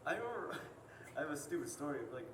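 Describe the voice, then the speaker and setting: A man's voice: fairly high pitch, very animated, fast talker. A teenage boy from Vietnam casually telling his family's story with fillers. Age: teens. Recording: distant echoey room with noise.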